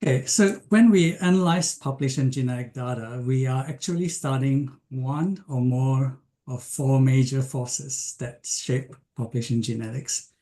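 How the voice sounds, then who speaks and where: A man from Malaysia giving an online science lecture, speaking with frequent ums, pauses and restarts. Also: mid-range voice, dark tone. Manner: hesitant, many ums and restarts.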